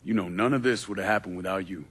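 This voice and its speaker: An actor playing a tough, quietly probing avenger with a Southern American accent, blunt and laid-back, in a game cutscene. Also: male voice, deep voice, fast talker, breathy edge. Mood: wry resolve. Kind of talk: dramatic game cutscene acting. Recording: faint music under the voice.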